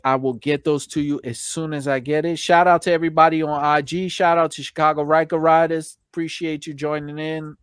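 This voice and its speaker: A man hosting a casual live stream, chatting informally with slangy shout-outs to viewers, his voice slightly hoarse from long talking. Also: on the high side, dry recording, clean studio sound.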